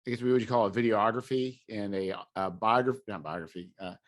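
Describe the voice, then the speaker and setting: A man's voice: lowish voice, fairly quick. A man hosting an interview podcast in a casual, conversational way, hesitant as he searches for words. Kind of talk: casual chat.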